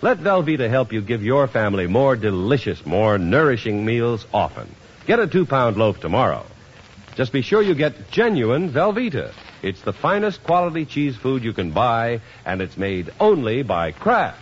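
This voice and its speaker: Animated American radio announcer man. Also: medium pitch, moderate pace, slight background noise. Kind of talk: 1950s radio advertising and scripted narration. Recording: muffled vintage radio broadcast, music behind.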